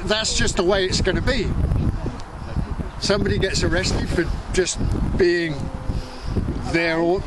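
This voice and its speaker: A middle-aged man speaks in a fairly high voice, declaiming his points with emphasis like an activist. He is out in the open, and heavy wind noise runs through the camera recording. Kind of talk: impassioned outdoor political talk to a small group. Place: open grassy field, outdoors. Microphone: camera microphone a few steps away. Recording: very noisy windy outdoor camera audio. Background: loud wind and ambient noise.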